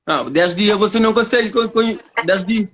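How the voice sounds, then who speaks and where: Young guy from cape verde on a livestream, high voice, trips over his words, faint noise behind. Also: fairly quick. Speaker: livestream host. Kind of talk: casual live-stream chat.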